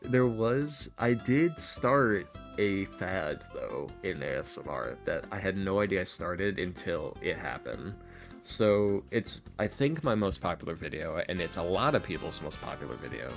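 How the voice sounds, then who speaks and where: Male podcast guest, lowish voice, loose rambling delivery with dry self-mockery, soft music underneath. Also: expressive, a little slow, creaky. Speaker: interview guest, ASMR roleplay creator. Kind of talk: casual podcast chat. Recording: music playing behind the talk. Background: background music with guitar.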